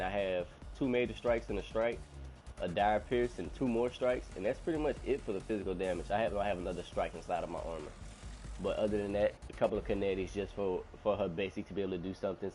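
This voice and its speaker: Male game streamer, low-pitched, nasal from a lingering cold, rambling casual talk, faint music underneath. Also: darkish tone. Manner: chatty, rambling, complains about rude players. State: recovering from illness. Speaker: gaming streamer. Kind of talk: casual live-stream commentary.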